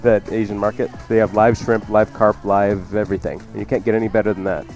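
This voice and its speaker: A man's voice: on the low side, fairly even intonation, a little fast, a little mellow, highs cut off, faint music behind. A man hosting a cooking show, chatting through recipe instructions off the cuff with joking asides. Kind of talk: casual unscripted radio cooking instruction.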